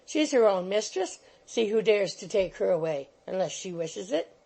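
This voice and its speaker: A woman's voice: medium pitch, sing-song, normal speed, gravelly, dark tone, low-quality recording. A woman voicing a cool, scheming young man who talks in clipped, terse phrases. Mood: curt.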